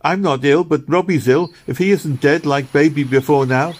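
A man voicing a distressed poor little girl who pleads urgently in a high, tearful voice. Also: fairly even intonation, moderate pace, lightly acted. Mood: pleading.

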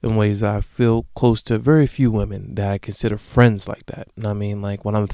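A man in his late twenties hosting a solo podcast, talking casually and profanely with sudden loud bursts. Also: fairly low pitch, flat delivery, a little fast, no echo, clean studio sound. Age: late 20s. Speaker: podcast host.

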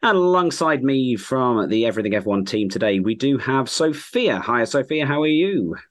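A man hosting a podcast in casual chat, friendly and quick to laugh, with a croaky voice. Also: mid-range voice, very animated. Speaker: podcast host.

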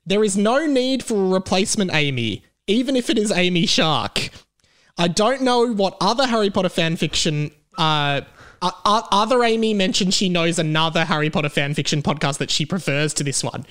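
A man's voice: high-pitched, normal speed, fairly bright tone. A man with an Australian accent hosting a casual comedy podcast over a video call, chatting and reading out listener mail. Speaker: podcast host. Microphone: remote video call recording.

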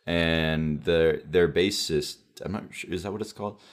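A young man with a low voice, co-hosting a podcast, chatting excitedly with lots of fillers. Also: normal speed. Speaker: podcast co-host. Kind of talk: casual podcast chat.

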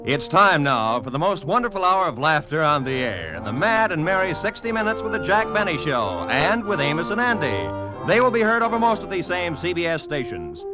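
A man's voice: on the high side, big pitch swings, normal speed, music in the background. A man announcing in a polished, emphatic, scripted 1940s network radio style. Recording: dull 1940s radio broadcast recording.

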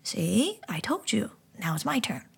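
A timid little boy who trips over his words and pushes his voice up high and eager, as a woman storyteller plays him. The voice is husky and gravelly, with animated rises. A storybook child. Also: a little slow, exaggerated voice. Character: shy young boy, timid and stammering.

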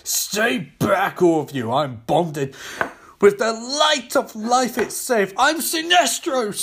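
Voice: mid-range voice, expressive, slow, fairly bright tone, slight background noise, voice pushed up. A comic reader voicing an arrogant male supervillain who declaims grandly as a self-proclaimed savior. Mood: proud, defiant.

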